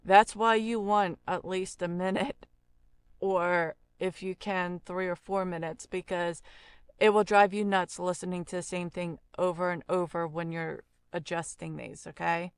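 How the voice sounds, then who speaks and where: A woman hosting an informal audio software tutorial, explaining step by step in a conversational, unhurried way. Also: fairly low pitch, fairly even intonation, clear, resonant tone, dry recording. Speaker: audio effects tutorial host, voice-over artist.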